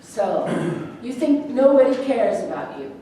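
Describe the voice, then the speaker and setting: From across a heavily echoing room, a woman performs a composed female psychiatrist. Her voice sits fairly high as she puts measured, probing questions in a staged play reading. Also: very animated, dark tone. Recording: very echoey room, distant mic, faint noise.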